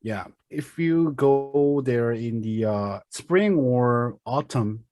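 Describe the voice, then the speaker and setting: Korean guy cohosting a podcast, english slow and halting, lots of ums, dry close sound. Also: mid-range voice, lively intonation, darkish tone, dry recording, faint music behind. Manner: halting, many fillers, searching for words. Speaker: podcast co-host. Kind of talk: casual chat.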